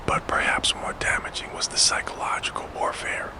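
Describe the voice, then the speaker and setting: A man narrating a scripted story in a hushed, gentle ASMR whisper, as the host of a sleep storytelling show. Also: moderate pace. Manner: hushed, gentle, soothing delivery. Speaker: host and narrator of a true crime sleep channel.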